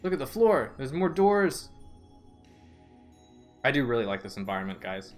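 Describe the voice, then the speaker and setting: A man's voice: mid-range voice, sing-song, normal speed, faint music behind. Rambling young man streaming a game. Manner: rambling, reads game text aloud, stumbles over names. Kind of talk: casual let's-play chat.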